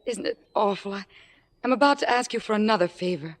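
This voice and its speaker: A woman's voice: medium pitch, brisk, close to an everyday voice. Meek, deferential young woman assistant, played by an actress. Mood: hesitant, pleading. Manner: meek, hesitant, earnest. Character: deferential, humble-seeming young woman assistant, quietly ambitious. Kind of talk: 1940s-50s radio acting.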